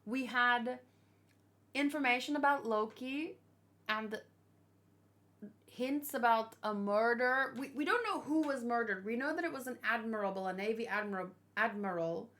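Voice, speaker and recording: Higher-pitched woman, musing casually. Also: moderate pace, pushed and bright. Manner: musing, thinking aloud, asking questions. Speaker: manga reaction host. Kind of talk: casual unscripted chat.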